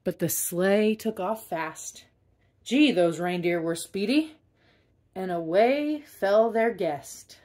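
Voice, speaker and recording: A woman reading a children's picture book aloud in an expressive way, stressing key words. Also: mid-range voice, slow. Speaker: storybook reader.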